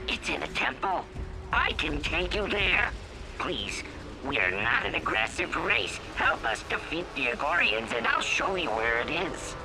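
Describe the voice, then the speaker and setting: Creaky pleading alien villager. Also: male voice, high-pitched, very animated, unhurried, exaggerated voice, low-quality recording. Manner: earnest, anxious pleading. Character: frightened, pleading male peaceful alien villager. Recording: loud music and battle behind.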